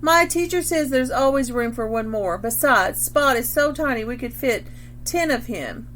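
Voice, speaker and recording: Young schoolgirl, voiced by a woman reader, keen and pleading, higher pitch, faint noise behind, storybook. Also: expressive, moderate pace, a bit bright. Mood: eager.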